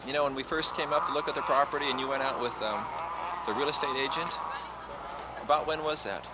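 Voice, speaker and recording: A man's voice: on the high side, fairly even intonation, quick pace. A man filming a family home video outdoors, narrating what he shoots and asking relatives questions. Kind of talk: casual chat behind a camcorder. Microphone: camcorder mic. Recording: 1980s camcorder sound with background noise.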